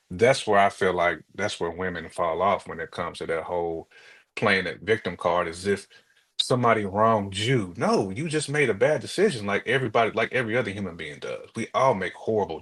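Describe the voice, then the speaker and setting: A man's voice: low voice, a bit bright, husky. A man in a casual, opinionated chat, speaking colloquially and emphatically and repeating his points.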